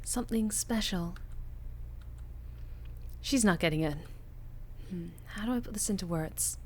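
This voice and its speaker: A woman's voice: mid-range voice, a little slow. Wry, chatty woman streamer. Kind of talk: casual gameplay commentary.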